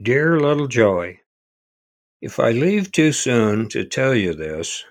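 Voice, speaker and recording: An actor playing a gentle older grandfather, reciting a riddling letter in measured verse for an audio drama. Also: medium pitch, sing-song. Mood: tender, solemn.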